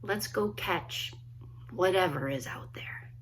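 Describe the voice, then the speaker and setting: A woman, a children's author, reading a story aloud expressively, with dramatic pauses and hushed emphasis. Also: on the low side, whispered. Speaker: children's book author reading own work. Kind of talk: expressive storybook read-aloud, friendly introduction.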